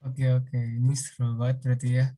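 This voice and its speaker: Young guy running an interview, pretty monotone, easygoing. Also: medium pitch, brisk. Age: young adult. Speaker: student interviewer. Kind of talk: casual interview.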